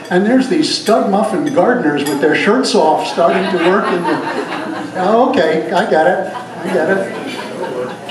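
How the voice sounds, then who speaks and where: A man performing live as a singer and songwriter, singing jazz standards and telling stories between songs. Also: on the high side. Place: live performance venue with band. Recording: very echoey live stage, music behind.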